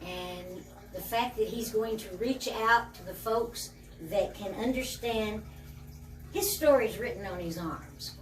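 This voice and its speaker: A woman hosting a casual interview, who speaks of her guest with warmth and admiration. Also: mid-range voice, a little slow. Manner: warm, admiring. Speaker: interview host. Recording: echoey room, distant mic.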